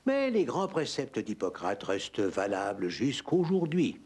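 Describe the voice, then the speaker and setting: A man reading scripted narration for an educational history cartoon, with a husky voice. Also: medium pitch, wildly swooping pitch, unhurried, a little mellow, a little hiss or hum. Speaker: documentary narrator.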